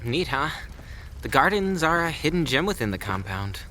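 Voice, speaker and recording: A man plays a cheeky, teasing sidekick in an audio drama. His bright voice swings widely as he jokes and needles with playful sarcasm, over audible background noise. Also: on the high side, sing-song, normal speed, rough recording.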